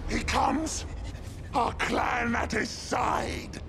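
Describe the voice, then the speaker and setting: A man's voice: very high-pitched, fairly even intonation, very slow, creaky, exaggerated voice. An actor playing a scheming, fanatical ratman in a video game cutscene, speaking in clipped, broken phrases with theatrical menace. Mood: frenzied zealous. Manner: clipped broken grammar, repeated words, theatrical. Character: scheming, frenzied ratman zealot, cunning and fanatical. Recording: loud music behind the voice.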